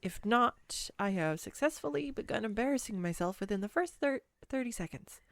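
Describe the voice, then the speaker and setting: A woman's voice: a little high, animated, moderate pace, no echo. A woman playing a clumsy, nervous young woman on a first date in an audio roleplay, rambling and self-deprecating. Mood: excited, flustered. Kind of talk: intimate audio roleplay monologue.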